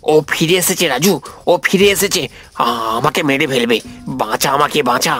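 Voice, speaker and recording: A man playing a terrified, haunted young man in an animated horror story, stammering and repeating himself in panic. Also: fairly high pitch, normal speed, soft background music. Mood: panicked, pleading.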